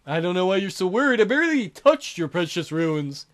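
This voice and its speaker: A man voicing a smug, scheming male relic dealer who talks in a slow, mock-polite drawl. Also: high-pitched, big pitch swings. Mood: smug menacing.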